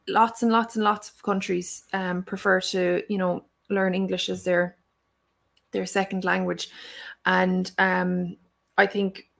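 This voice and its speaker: Earnest Irish woman with gravelly fry. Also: lowish voice, flat delivery, slow. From Ireland. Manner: thoughtful, earnest, many fillers. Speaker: Irish language teacher. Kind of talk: live stream Q&A answer.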